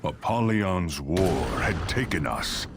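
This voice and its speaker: An actor voicing a grave Viking warrior narrating a war, slow and weighty, with a deep gravelly voice. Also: male voice, animated, heavy background noise, heavily acted. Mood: grave, solemn. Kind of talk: dramatic scripted cinematic narration.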